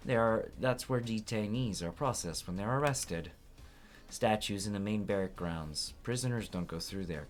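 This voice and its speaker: A man reading courtroom game dialogue aloud as a let's player, with casual asides. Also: fairly low pitch.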